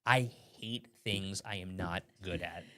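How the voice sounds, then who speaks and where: A man co-hosting a morning TV show, chatting playfully and reading out viewer comments. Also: deep voice. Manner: lively and playful, quick to react. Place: TV studio.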